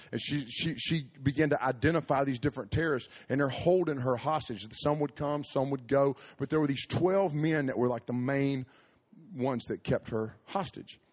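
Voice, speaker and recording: A man tells a story from the pulpit in an average-pitched voice. He is animated and jokey, breaks off into asides and interrupts himself, then dives back into the tale. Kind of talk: storytelling sermon with humorous asides.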